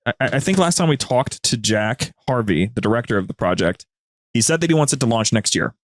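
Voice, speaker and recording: A man's voice: mid-range voice, animated, fairly quick, no echo. Informal, slangy man chatting, dry sound. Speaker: podcast guest, audio drama producer. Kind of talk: casual chat.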